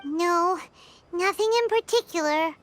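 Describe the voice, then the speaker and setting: Squeaky, very high childlike fairy girl. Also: measured pace, fairly bright tone. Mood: curious. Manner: speaks of self in third person, exclamatory. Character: small childlike excitable female fairy companion. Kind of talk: video game voice acting.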